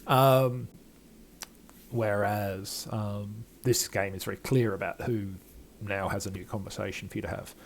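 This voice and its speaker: A man's voice: lowish voice, steady tone, a little slow, gravelly, slight background noise. An older man hosting a game playthrough with casual, rambling, self-deprecating commentary. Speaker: gaming video host.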